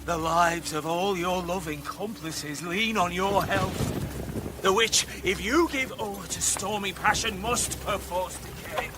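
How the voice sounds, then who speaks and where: Higher-voiced, earnest battlefield messenger. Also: male voice, takes it slowly. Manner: earnest, formal verse delivery. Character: shaken battlefield messenger, loyal and sombre nobleman's follower. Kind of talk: Shakespearean radio drama acting. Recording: noisy ambience behind the voice.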